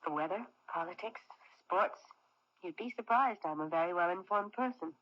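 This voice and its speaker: A woman's voice: fairly low pitch, animated, moderate pace, close to an everyday voice. An actress playing a guarded, troubled married woman in an old-fashioned radio mystery, earnest and evasive. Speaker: radio drama actor. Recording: muffled old radio recording.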